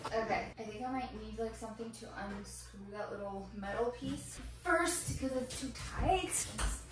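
A woman's voice: fairly high pitch, normal speed, recorded from a distance. A young woman vlogging casually, thinking aloud as she cleans out her small laundry room. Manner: chatty, rambling, thinking aloud while working. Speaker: home vlogger. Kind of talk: casual cleaning vlog chat. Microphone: camera across the room. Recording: echoey room, off-mic, loud music bed.

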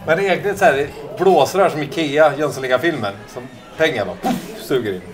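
Wisecracking man over pub crowd noise. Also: a little high. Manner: dry quips, frequent laughs. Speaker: podcast co-host. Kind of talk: casual pub banter. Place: busy pub. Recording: noisy pub with crowd chatter. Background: crowd chatter.

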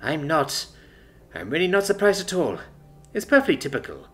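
A man's voice: on the high side, sing-song, moderate pace, soft background music, pitched above the performer's own voice. A man voicing a brusque, exasperated female military captain who talks in curt, sarcastic lines.

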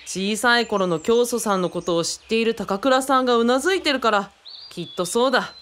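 A woman's voice: fairly high pitch, brisk, fairly bright tone, slight background noise, lightly acted. A woman playing an eager, kindly young craft enthusiast who speaks politely and keenly in a radio drama. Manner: keen, polite, explanatory.

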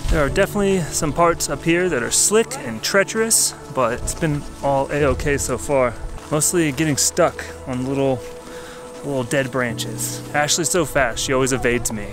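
A man's voice: mid-range voice, moderate pace, music in the background. A man in his thirties chatting casually as a travel vlogger, outdoors on a mountain, talking into a handheld camera. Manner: upbeat, easygoing, laughs often. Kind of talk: casual travel vlog chat. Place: outdoors on a volcano summit. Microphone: handheld camera at arm's length.

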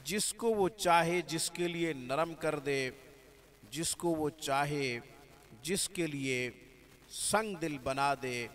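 A man with a fairly high voice teaches religious practice in a very slow, unhurried way. His delivery is calm and devout, woven with Arabic and religious phrasing. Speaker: spiritual healer teaching prayers. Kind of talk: religious instruction.

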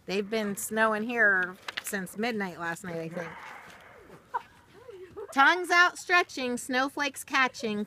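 Bright-voiced middle-aged woman storyteller. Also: a little high. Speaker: storyteller reading a children's book. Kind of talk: read-aloud of a rhyming picture book with casual asides. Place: outdoors in a snowy backyard. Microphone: camera or phone a short distance away. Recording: outdoor phone video, faint background noise.

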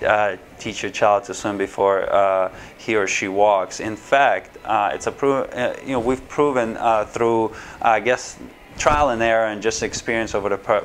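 A man's voice: lowish voice, slight background noise. A man in his thirties from Ukraine, seated in a small office and answering interview questions to camera with many fillers and restarts. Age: 30s. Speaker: swim school owner. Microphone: camera framed at chest height, seated.

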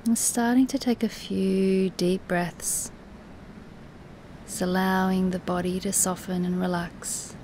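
A woman teaching guided relaxation in a soothing, soft, instructive way, with breathy, near-whispered moments and long pauses. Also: mid-range voice, level tone, a little hiss or hum, low-quality recording. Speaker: yoga nidra meditation teacher.

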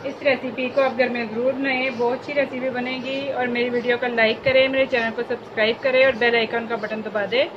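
A woman walks viewers through a recipe in simple, encouraging steps. Her voice sits high and carries room echo from a home kitchen. The sound is muffled, with steady background noise. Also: a little fast, hardly any pauses. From Pakistan. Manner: simple, repetitive, encouraging instructions. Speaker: cooking channel host. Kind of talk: home cooking tutorial. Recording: echoey, dull, noisy home recording.

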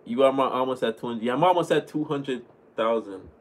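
Young Jamaican-accented man, laid-back streamer, mid-pitched voice, webcam sound in a small home room. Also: a little fast. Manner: relaxed, chatty, thanks viewers often. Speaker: livestream host reading chat. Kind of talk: casual livestream chat. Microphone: webcam at desk.

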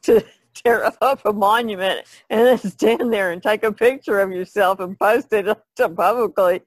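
A woman talks casually as a podcast co-host, in a colloquial, plain-spoken way. Her voice sits at an average pitch. The sound is dry, with hardly any room. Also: normal speed, no room sound. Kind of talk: casual conversational discussion.

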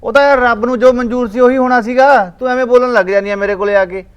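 A man's voice: very high voice, fairly even intonation, quick pace, clear, resonant tone, highs cut off. A man, playing an irritable, dismissive rural husband.

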